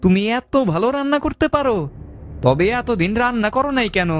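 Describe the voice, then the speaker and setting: A man's voice: very high voice, animated, brisk, slight background noise. A man voicing a tired, complaining village farmer who is a husband and father, with faint music behind. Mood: pleased. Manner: complaining, weary.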